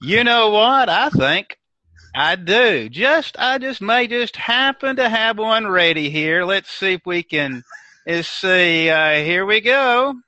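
A man's voice: high-pitched, expressive, slow pace, bright, forceful tone, dry recording. An older man with a Southern US accent, speaking as a guest in an emphatic, preacherly way. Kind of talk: preaching-style discussion. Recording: dry, dull lo-fi sound.

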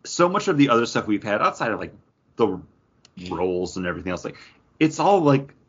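A man's voice: medium pitch, very animated, fairly quick, slightly rough, highs cut off. A man hosting a casual podcast chat and moderating a panel's ranking. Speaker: podcast host.

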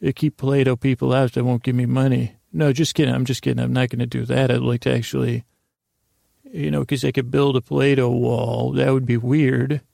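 A man with a creaky voice hosting a bedtime podcast in a meandering, halting monologue that stammers and interrupts itself with gentle humor. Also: mid-range voice, level tone, no echo, clean studio sound. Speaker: podcast host.

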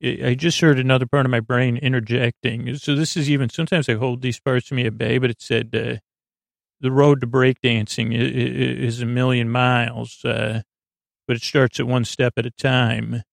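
A man hosting a bedtime podcast in a rambling monologue, halting and often losing his thread, silly and reassuring. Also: mid-range voice, flat delivery, creaky, no room sound, clean studio sound. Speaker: podcast host.

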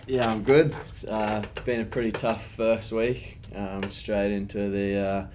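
A man's voice: lowish voice, flat delivery, a little slow, a little mellow, a little hiss or hum. A young Australian man, a professional rugby player, chatting casually as an interview guest. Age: young adult. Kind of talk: casual chat.

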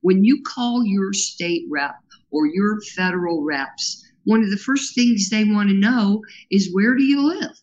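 A woman guest with a powerful, earnest voice, rambling through her points with plenty of fillers. Her pitch sits in an ordinary middle range. The audio is dull and lo-fi. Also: measured pace, a little mellow, a little hiss or hum. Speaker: guest, grassroots organizer. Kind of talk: casual interview talk.

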